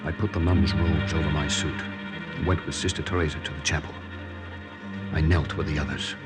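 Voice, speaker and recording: A low-pitched, earnest military man in a vintage 1940s radio drama. He speaks gravely and with measure, with music clearly playing behind him. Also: steady tone, fairly quick. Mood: reflective. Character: earnest male wartime underground lieutenant narrating his mission. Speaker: actor and first-person narrator.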